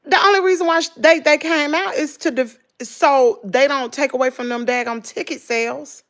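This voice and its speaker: A middle-aged woman with a Southern American accent, hoarse, chatting casually and gossiping with her viewers in a dramatic way. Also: high voice, normal speed, fairly bright tone. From Southern United States. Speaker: vlogger.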